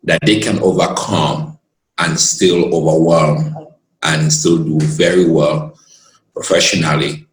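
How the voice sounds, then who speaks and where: A man with a very low voice speaks as an interview guest. He talks earnestly and at length, pausing now and then to find his words, and his voice carries around a roomy, echoing space. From Cameroon. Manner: expansive and earnest, pauses to gather his words. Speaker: guest, lawyer. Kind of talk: reflective storytelling in conversation.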